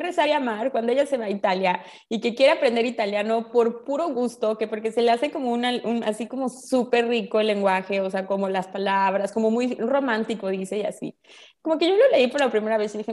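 A woman with a Mexican accent explains ideas in a casual, expressive, conversational way full of colloquial slang. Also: a little high, fairly quick. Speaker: guest explaining spiritual ideas.

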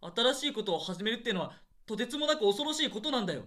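A man acting as an overbearing, pompous theatre director in a radio drama, gruff and scolding in heated comic dialogue. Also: high voice, animated, very fast, bright, forceful tone. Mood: wounded, insistent. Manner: gruff, scolding, rough-spoken.

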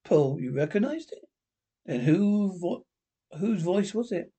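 A husky-voiced reader playing a worried husband who speaks in a plain, reassuring way. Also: medium pitch, lively intonation, slow, warm tone, heavily acted. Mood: concerned. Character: worried husband searching for his wife.

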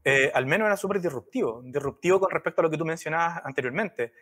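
A man's voice: a little high, lively intonation, fast, fairly bright tone, breathy edge. A man hosting an interview, speaking hesitantly and searching for words. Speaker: podcast host, brand strategist. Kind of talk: conversational interview.

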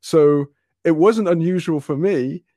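A man tells a long, meandering story in casual conversation. His voice sits a little high, and he keeps breaking off and starting over. The recording is dry, with no room sound. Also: a little slow, dark tone, dry recording. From Wales. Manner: rambling anecdotes with frequent restarts and laughter.

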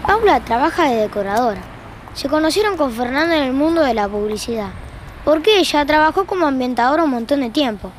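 A woman's voice: sits high, lively intonation, brisk, a little hiss or hum. An Argentine woman playing the wry, conversational narrator of a fiction podcast about a married couple's squabbles.

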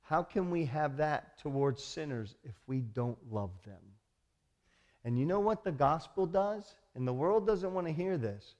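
A man delivers a sermon from the pulpit in an emphatic, rousing manner. His voice sits a little higher than most men's, and he keeps turning to the congregation with rhetorical questions. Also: animated, a little slow, a little mellow, dull audio. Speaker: pastor.

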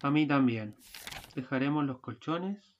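A man in a student radio play acting a hurried, irritable husband, with a curt, grumbling delivery. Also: mid-range voice, muffled recording, a little hiss or hum, natural voice. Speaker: radio drama actor.

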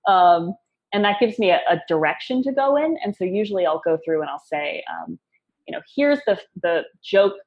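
Casting: woman copywriter guest, fairly low voice, upbeat and quick, joking asides, dull lo-fi call sound. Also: expressive. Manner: upbeat, quick, self-deprecating humor. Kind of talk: casual interview talk.

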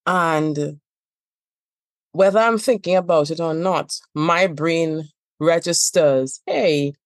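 Low-voiced Trinidadian woman, emphatic. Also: slow pace, no room sound. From Trinidad and Tobago. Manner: emphatic, rhythmic repetition. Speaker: guest therapist. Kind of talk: podcast conversation.

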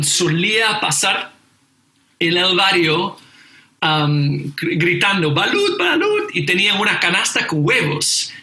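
Casting note: man, slow, halting delivery, bright tone, roomy echo. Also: high-pitched. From England. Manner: hesitant, many fillers and restarts. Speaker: seminary teacher, guest. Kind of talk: casual conversation.

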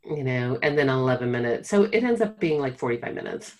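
A woman's voice: extremely low pitch, lively intonation, fairly quick. Easygoing woman, medical director, chatty and upbeat, casual conversation. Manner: chatty, encouraging, laughs easily, playful. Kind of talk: casual chat.

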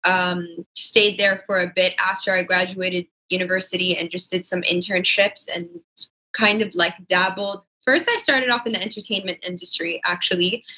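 Bright-voiced young woman chatting. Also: on the low side, fairly even intonation, moderate pace, low-quality recording. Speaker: podcast guest, fashion designer. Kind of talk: casual conversational interview answers.

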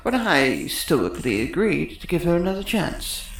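A woman's voice: deep voice, sing-song, normal speed. Woman playing a cocky, sarcastic male tech, echoey. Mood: smug. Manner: sarcastic, smug, wordy. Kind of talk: sci-fi comedy audio drama acting. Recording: roomy echo.

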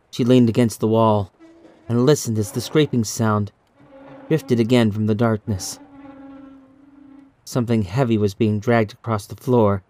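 A man reading a scripted horror story in a measured, dramatic, suspenseful way, as an audiobook narrator. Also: fairly low pitch, darkish tone.

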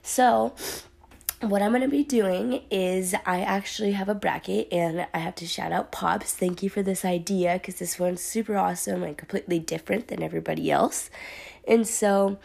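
Young woman podcaster, raspy sore throat. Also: mid-range voice, fairly even intonation, normal speed, darkish tone. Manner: chatty, upbeat, filler-heavy. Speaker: podcast host. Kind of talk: casual solo podcast talk.

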